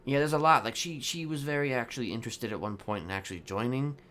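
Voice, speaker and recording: A man improvising in-character roleplay chat, speaking haltingly with trailing pauses. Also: mid-range voice, fairly bright tone. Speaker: streamer playing a roleplay character.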